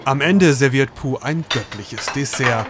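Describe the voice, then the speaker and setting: A man narrating a travel food documentary in a measured, scripted voice-over. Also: medium pitch, heavy background noise.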